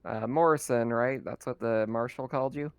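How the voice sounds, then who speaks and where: Male tabletop player, mid-pitched, dry close recording, casual in and out of character. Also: normal speed, vocal fry, clear, resonant tone, dry recording.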